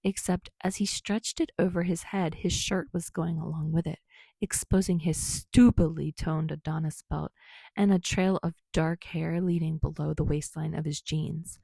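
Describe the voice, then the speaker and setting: A woman's voice: deep voice, fairly even intonation, normal speed, gravelly, warm tone, husky, no room sound. A woman reading a story aloud as scripted audiobook narration.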